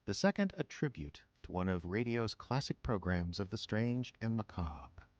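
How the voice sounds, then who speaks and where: A man hosting a radio drama broadcast, reading a measured, theatrical introduction. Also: on the low side, big pitch swings, darkish tone, no echo, muffled recording. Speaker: host and announcer. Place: small indoor rooms used as studio.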